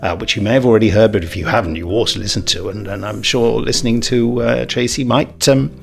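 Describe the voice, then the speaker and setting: A man's voice: lowish voice, quick pace, creaky. A British man hosting a podcast with jokey, self-deprecating, rambling casual chat. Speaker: podcast host. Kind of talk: unscripted casual banter.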